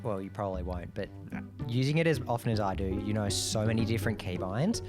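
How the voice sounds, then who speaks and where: Lowish-voiced man in podcast chat. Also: fast talker, over music. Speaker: podcast panelist.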